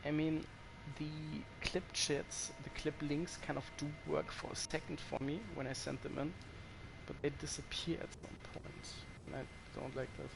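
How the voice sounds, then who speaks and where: A man's voice: fairly high pitch, fairly even intonation, moderate pace, gravelly, background noise. A man with a German accent chatting casually to his viewers while he plays, swearing when the game frustrates him. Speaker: streamer. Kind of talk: casual gameplay commentary to chat.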